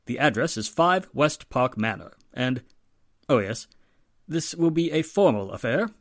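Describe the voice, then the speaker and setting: A man playing a wealthy, middle-aged society father in a 1940s-style radio drama, speaking with courteous, measured formality. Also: fairly high pitch, dry recording, clean studio sound. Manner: formal, polite, measured phone manner.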